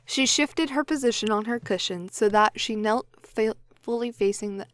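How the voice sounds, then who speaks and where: A woman's voice: on the high side, normal speed, a bit bright, no room sound. A woman reading scripted story narration for an audio drama. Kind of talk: scripted audiobook-style narration, blooper takes.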